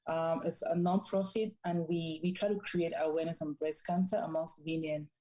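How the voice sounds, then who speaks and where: Woman guest, deep mellow voice, calm and measured. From Cameroon. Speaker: podcast guest and nonprofit founder. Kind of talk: casual podcast talk.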